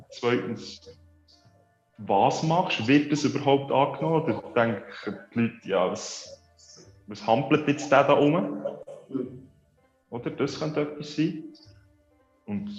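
A man with a Swiss German accent, a guest in a casual interview who thinks aloud in a reflective, hesitant way. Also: mid-range voice. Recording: roomy, dull lo-fi, faint music.

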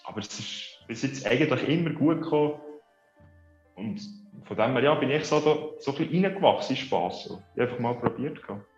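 A man's voice: mid-range voice, lively intonation, a little fast. A man with a Swiss German accent speaks in a reflective, hesitant way as an interview guest. The recording sounds roomy and a little dull, with faint music underneath. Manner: reflective, hesitant, thinking aloud. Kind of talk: casual interview chat.

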